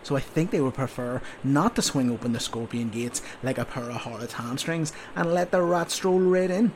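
A man voicing a blustering, sarcastic army sergeant who lectures a young soldier in loud, drawn-out scolds. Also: medium pitch, vocal fry, a little hiss or hum, natural voice. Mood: mocking lecture. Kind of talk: audiobook character voice.

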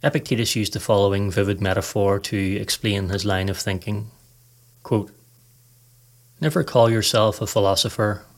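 A man hosting a podcast, reading a scripted guided meditation in a calm, measured, instructive way. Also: lowish voice.

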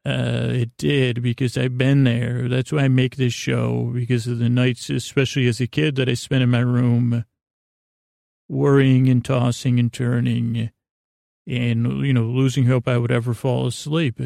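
A middle-aged man with a creaky, gravelly voice, hosting a sleep podcast in a goofy, meandering, halting monologue that often repeats itself. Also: medium pitch, little pitch movement, warm tone, no echo, clean studio sound. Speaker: podcast host. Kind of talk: rambling bedtime monologue.

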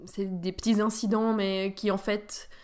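A native French-speaking woman co-hosting a podcast, talking hesitantly with many fillers and letting her phrases trail off softly. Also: mid-range voice, level tone, measured pace. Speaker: podcast co-host. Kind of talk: casual podcast chat.

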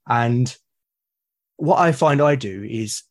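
A man's voice: mid-range voice, lively intonation, slow pace. A British man, a podcast guest, talking in a reflective, casual way and often restarting and repeating words mid-sentence. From Britain.

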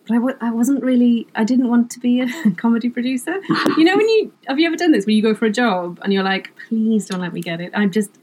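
A woman's voice: a little high, lively intonation, fast talker, darkish tone, slight background noise, poor audio. A middle-aged woman from Wales, whose first language is Welsh, chatting as a guest about her writing work, self-deprecating and laughing often. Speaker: comedy writer, guest. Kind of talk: casual interview chat.

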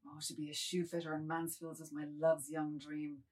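A woman's voice: low voice, little pitch movement, normal speed, a little mellow. A woman playing a submissive, wordy, fretful aging man, speaking florid lines in a dramatised literary reading. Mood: dreamy longing. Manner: florid, meek, rambling.

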